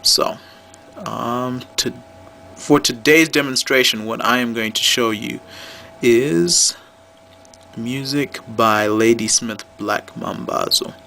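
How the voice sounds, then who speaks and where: A man's voice: medium pitch, slow pace, vocal fry, bright, forceful tone, slight background noise. A man giving an unscripted how-to demonstration as a seller, explaining things plainly, step by step. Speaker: product demonstrator and phone seller.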